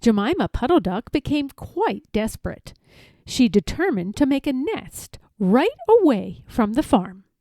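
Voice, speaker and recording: A woman narrating a children's story from a script, expressive and careful in her phrasing. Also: on the high side, measured pace, no echo, clean studio sound. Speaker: storybook reader.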